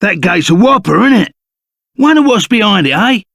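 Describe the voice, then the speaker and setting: An actor playing a rough, loyal, excitable henchman in a video game, with a gravelly voice, a Cockney accent and blunt, chummy, slangy talk. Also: high-pitched, normal speed, no echo, clean studio sound, exaggerated voice. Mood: blunt, curious. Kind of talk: video game character voice acting.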